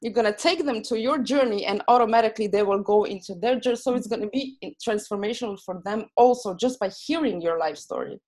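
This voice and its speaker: A woman with a Slovene accent, speaking as a guest coach on a podcast, excited and full of fillers and restarts. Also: on the high side, fast, few pauses. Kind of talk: enthusiastic podcast interview.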